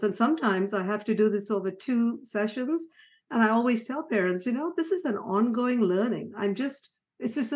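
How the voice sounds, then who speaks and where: A middle-aged woman born in Sri Lanka and raised in Ghana, talking through her life story as a podcast guest, with soft laughs and frequent restarts. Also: mid-range voice. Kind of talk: conversational storytelling interview.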